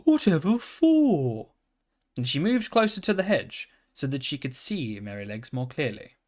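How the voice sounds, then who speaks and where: A man reading a children's storybook aloud in a calm, steady manner. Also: mid-range voice, moderate pace. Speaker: reader.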